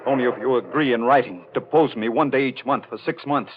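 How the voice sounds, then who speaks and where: An actor playing a bitter, obsessive sculptor and menacing husband, intense and deliberate, in 1940s radio melodrama style. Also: male voice, mid-range voice, lightly acted. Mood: grimly determined. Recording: dull, faintly noisy old radio recording.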